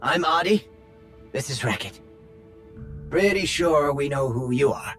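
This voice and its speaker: An actor playing a quick, earnest young rebel leader in a video game, a little wry. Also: male voice, medium pitch, expressive, a bit bright, soft background music. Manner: hurried, earnest, a bit wry.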